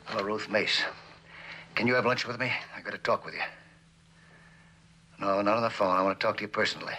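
An American actor playing an earnest, troubled middle-aged business executive in a 1960s television drama. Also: male voice, lowish voice, fairly even intonation, brisk, pushed and bright, a little hiss or hum, poor audio. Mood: businesslike.

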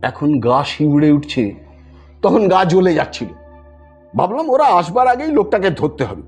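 A man's voice: fairly high pitch, very animated, moderate pace, darkish tone, slightly rough, muffled recording, soft background music. A man narrating a scripted story in a theatrical, dramatic manner, acting out the dialogue as he goes. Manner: theatrical, acts out characters' dialogue.

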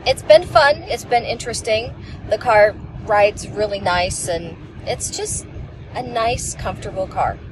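A woman's voice: medium pitch, a little slow. Chatty woman in a noisy car. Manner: chatty, rambling storytelling. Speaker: passenger. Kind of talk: casual chat. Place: inside a moving car. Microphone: camera on the dashboard. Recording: clear road noise in a car.

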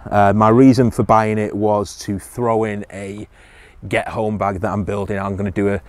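A man's voice: on the low side, level tone, normal speed, darkish tone, no echo. Man reviewing gear on a hillside. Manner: hesitant, many ums and restarts. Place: outdoors on a windy hillside.

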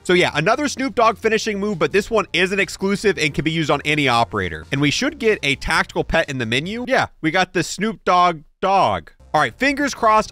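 A man's voice: fairly high pitch, bright, forceful tone. A man giving casual, chatty, opinionated video game commentary, repeating himself for emphasis. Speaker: gaming YouTuber reviewing game content.

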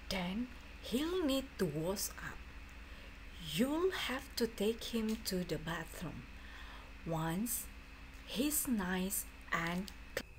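A woman teacher reads aloud for a class at a slow, careful pace. Her pitch sits in the middle range for a woman, and she sets out each phrase deliberately. There is faint noise behind her voice. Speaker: teacher reading aloud to students.

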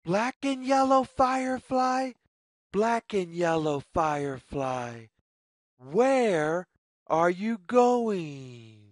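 Read-aloud storyteller for young children: very slow, deliberate phrasing, lively sing-song intonation, mid-pitched voice. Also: no room sound, studio quality.

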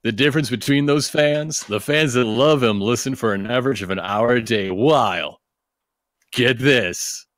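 Guy reading stuff out loud on a podcast, joking asides, dry close mic. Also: medium pitch, moderate pace, no echo. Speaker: podcast reader.

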